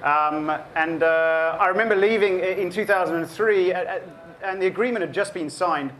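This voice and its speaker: A South African man in his forties speaks at a book event, a fairly high voice for a man. He talks in a thoughtful way, with many ums and restarts as he gathers his thoughts. A faint hiss of the room sits under him. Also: moderate pace, fairly bright tone. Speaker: author giving a book talk. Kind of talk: public talk to an audience.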